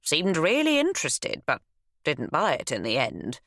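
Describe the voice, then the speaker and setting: A woman voicing a nosy, chatty older woman who runs a shop, insistent and quick to huff. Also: low-pitched, huge pitch swings, a bit bright, exaggerated voice.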